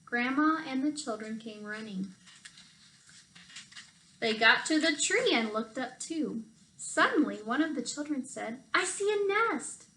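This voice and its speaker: A woman reads a children's storybook aloud. Her voice is fairly high and very bright, and she shapes each phrase expressively for young listeners. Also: lively intonation, a little slow, long pauses, slight background noise, low-quality recording. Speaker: storytime reader for children, teacher. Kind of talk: animated picture-book read-aloud.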